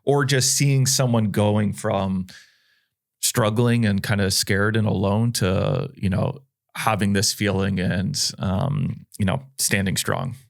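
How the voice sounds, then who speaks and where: Male host, very low voice, rambling questions, filler-heavy. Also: steady tone, slow, creaky. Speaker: podcast host. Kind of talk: conversational interview.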